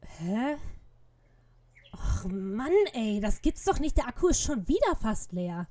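A fairly high-voiced teenage girl sleuth played by a woman, earnest and caring. She speaks sympathetically, in the style of a parody detective radio play. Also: very animated, slow pace, dark tone, no echo. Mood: conversational. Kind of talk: parody detective audio drama.

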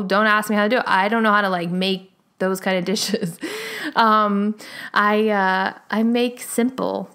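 A young woman hosts her own podcast and talks straight to her listeners in a relaxed, chatty way. She laughs often and fills her sentences with "like" as she goes. Her voice sits at an ordinary middle pitch for a woman. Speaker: podcast host. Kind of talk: solo podcast talk.

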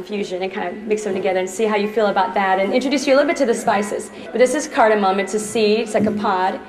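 A woman's voice: medium pitch, a little mellow. A woman in her thirties from Trinidad, giving a chatty, upbeat live cooking demonstration as a chef in a department store. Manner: chatty and upbeat, jokes with the crowd. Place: department store demo kitchen. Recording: echoey store floor.

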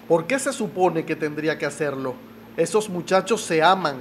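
A man's voice: on the high side, animated, moderate pace, slight background noise, natural voice. A man playing a proud, stern middle-aged magnate in a melodramatic radio soap. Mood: defiant, exasperated. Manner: indignant, melodramatic. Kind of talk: radio soap opera acting.

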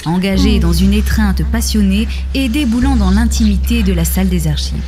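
A woman narrator reading scripted fiction in an audio drama. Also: medium pitch. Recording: music under the voice.

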